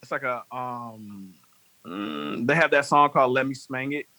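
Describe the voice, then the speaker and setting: Man, late twenties, bright tone, dry sound, rambling, animated storyteller, casual podcast chat. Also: medium pitch, a little slow, no room sound. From North Carolina. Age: 20s. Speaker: podcast guest, music manager.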